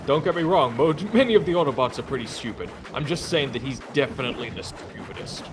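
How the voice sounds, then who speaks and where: A man's voice: medium pitch, expressive, fast. A man giving a casual, chatty and emphatic comedic reading of a fan comic. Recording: noisy amateur recording.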